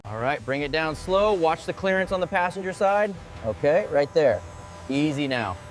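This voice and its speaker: A man's voice: on the high side, animated, moderate pace, a little hiss or hum. A man making short spoken remarks like a workshop worker, with music behind.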